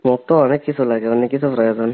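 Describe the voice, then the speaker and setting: A man's voice: mid-range voice, fairly even intonation, rapid-fire, close to an everyday voice. A man playing a hesitant but eager young brother-in-law in a staged phone-call drama, asking probing questions. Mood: shyly probing. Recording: tinny narrowband phone line.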